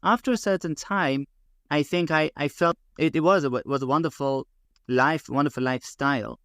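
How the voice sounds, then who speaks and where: A middle-aged man from London with a London English accent, speaking as a guest rabbi in a podcast interview, measured and full of fillers and restarts. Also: a little high, fairly bright tone, no echo, clean studio sound. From England.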